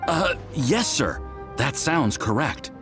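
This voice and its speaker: An actor playing an eager, deferential young research assistant in an anime game, earnest, excitable and polite. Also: male voice, on the high side, slow, full character voice. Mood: sheepish. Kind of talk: anime game character acting. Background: faint background music.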